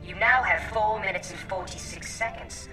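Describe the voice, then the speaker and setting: A woman's voice: medium pitch, moderate pace, close to an everyday voice. Woman as a cold, officious space controller. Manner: clipped, officious. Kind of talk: audio drama acting. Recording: distant voice under loud music.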